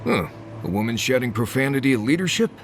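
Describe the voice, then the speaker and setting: An actor playing a grudging, dry-witted wilderness guide in a video game, curmudgeonly and sardonic. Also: male voice, medium pitch, very animated, quick pace, slight background noise. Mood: grudging, deadpan. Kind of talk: conversational video game voice acting.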